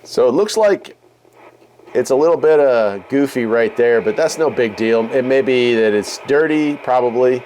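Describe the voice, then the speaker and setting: A man's voice: medium pitch, expressive, moderate pace, darkish tone, a little hiss or hum, rough recording. A man hosting a hobby video in a casual, folksy way, musing aloud as he demonstrates equipment. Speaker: radio hobby video host. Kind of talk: casual hands-on demonstration chat.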